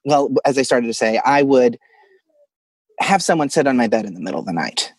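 A man's voice: medium pitch, sing-song, a little fast, no room sound. Male caller from Arkansas, dry close sound. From Arkansas, United States. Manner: chuckles through his story. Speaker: caller telling a personal ghost story. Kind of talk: casual storytelling. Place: closet at home.

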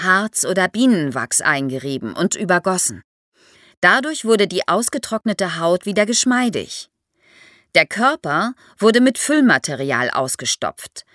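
A woman's voice: lowish voice, a bit bright, dry recording, clean studio sound. A woman explains history to young listeners as an expert guest, in a didactic way, posing questions as she goes.

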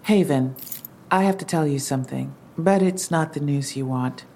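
An actress playing a gentle female police officer who speaks softly and carefully. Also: deep voice, moderate pace. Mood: careful, reassuring. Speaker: police officer in an audio drama. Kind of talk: dramatic dialogue. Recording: noticeable ambient background noise.

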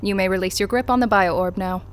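An actress playing a cool, unflappable corporate interrogator in an audio drama, formal, clipped and detached. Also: female voice, mid-range voice, fast talker, over music, lightly acted. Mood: composed, businesslike. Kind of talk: naturalistic audio drama acting.

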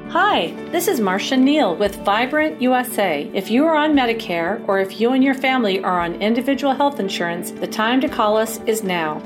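A woman reads a scripted radio commercial in a smooth, steady sales voice. Her pitch sits a little high, and music plays clearly underneath. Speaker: ad announcer.

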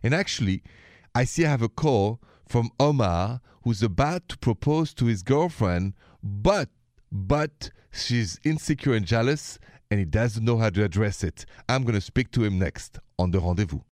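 A man with a French accent and a fairly low voice hosts a relationship call-in show. He talks conversationally and addresses the listener directly. The sound is dry, with very little room around the voice. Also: expressive, moderate pace, darkish tone, no room sound. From Paris, France. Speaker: radio host giving relationship advice. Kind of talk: conversational call-in radio talk.

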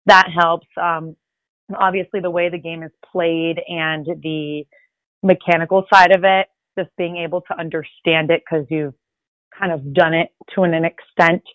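Woman, native Spanish speaker, lowish voice, earnest rambling answers, dry dull sound. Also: fairly even intonation, moderate pace, dry recording. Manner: earnest, rambling, with light laughs. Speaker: sports reporter, guest. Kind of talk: casual conversational interview.

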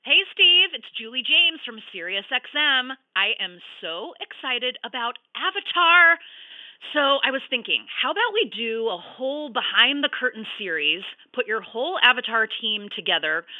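A woman's voice: a little high, no echo, lightly acted. An upbeat, enthusiastic woman playing a radio host leaving a message, heard with a tinny phone sound.

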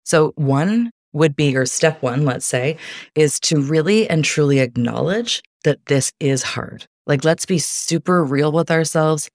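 A woman's voice: low voice, animated, a little slow, no room sound, clean studio sound. A woman, a podcast guest, chatting casually and earnestly about coping, laughing often. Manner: warm, earnest advice-giving with frequent laughs. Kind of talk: casual interview chat.

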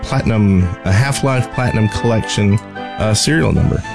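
A man with a lowish, creaky voice talks as a podcast co-host. He chats casually and haltingly, with faint music behind him. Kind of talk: casual gaming podcast chat.

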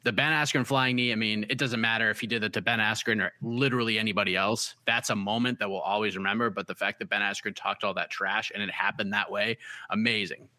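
A man's voice: fairly low pitch, fairly even intonation, fast talker, sharp tone, no echo. A man with a light Boston accent, an MMA analyst giving emphatic casual fight picks and repeating his key points. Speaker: MMA analyst on a preview show.

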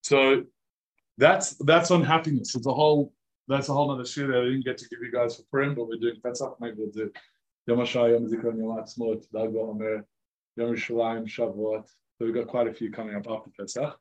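A man's voice: medium pitch, flat delivery, fairly quick, poor audio. Chatty male rabbi teaching a class. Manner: casual and chatty, many "like" fillers, questions to the audience. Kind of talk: informal interactive lecture. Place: room with a live audience of students.

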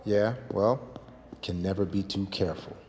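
Actor as gruff low-voiced male prison guard. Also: fairly even intonation, normal speed, very noisy, close to an everyday voice. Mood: mocking. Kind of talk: audio fiction acting.

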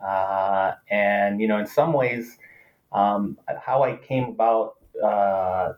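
A man's voice: lowish voice, slow, muffled recording. A man from Los Angeles whose first language is Spanish, speaking as an author in a thoughtful academic interview, with frequent fillers and restarts. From Los Angeles, United States. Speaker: author, political scientist.

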